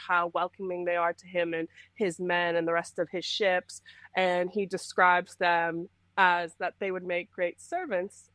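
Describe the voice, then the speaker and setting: A woman's voice: on the low side, a bit bright, dry recording. A young woman from the Bahamas, explaining things conversationally as a podcast interview guest. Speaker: guest, history PhD student and content creator.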